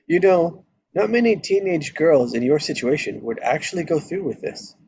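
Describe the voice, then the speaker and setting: A man's voice: fairly high pitch, lively intonation, normal speed, creaky, darkish tone, lo-fi sound, slight background noise. A man playing a laid-back, sardonic husband in a casual, wry way at a script table read. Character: laid-back, sardonic married man, expectant adoptive father.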